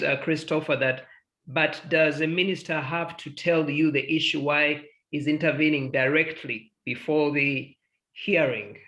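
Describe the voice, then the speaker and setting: Male moderator, higher bright voice, hesitant delivery, roomy echo. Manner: hesitant, relays questions from the audience. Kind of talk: moderating an online panel. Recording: echoey room.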